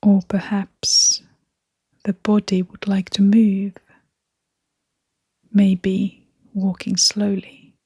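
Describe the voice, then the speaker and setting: A woman leading a guided meditation in a gentle, hushed, breathy voice, leaving long pauses between short phrases. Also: medium pitch, monotone, dry recording, studio quality. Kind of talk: guided meditation, soft-spoken.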